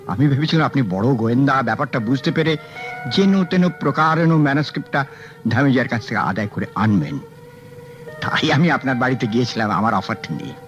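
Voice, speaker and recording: An actor playing a sly, scheming book collector who mocks and dodges a detective's questions. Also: male voice, medium pitch. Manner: mocking, evasive, sly. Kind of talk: 1970s radio drama acting. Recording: dull old radio recording, faint music.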